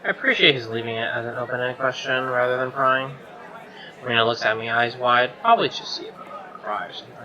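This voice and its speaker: A man's voice: mid-range voice, fast talker, sharp tone, muffled recording, some chatter behind. A man reading a visual novel aloud as narration, with offhand reactions of his own.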